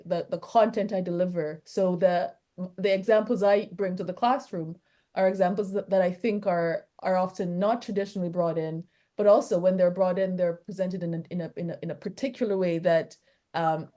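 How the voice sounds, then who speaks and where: A woman's voice: on the low side, mellow. A woman academic with a Jamaican accent, in an academic discussion, hesitantly searching for words and repeating phrases. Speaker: academic discussant asking questions.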